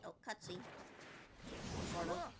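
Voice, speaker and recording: A woman's voice: lowish voice, very animated, takes it slowly. A woman streaming a game, giving casual reactions as she plays.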